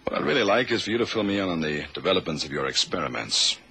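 A man's voice: low-pitched, lively intonation, fast, creaky, bright, forceful tone, breathy edge, full character voice. A man playing a courteous, authoritative intelligence chief in an old radio drama, firm and businesslike. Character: brisk, courteous, authoritative male CIA chief. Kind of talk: 1970s radio drama acting. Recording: faint noise, old radio recording.

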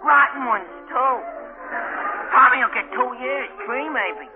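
Cocky New gang boy, high youthful voice, slangy, muffled old radio broadcast. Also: unhurried. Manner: slangy, brash. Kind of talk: 1940s radio drama acting. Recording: muffled 1940s radio broadcast, faint music.

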